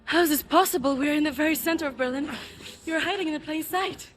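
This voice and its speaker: Scared young prisoner, high and quick, anxious, game cutscene. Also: female voice, a bit bright. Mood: amazed. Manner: anxious, breathless. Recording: game audio with music.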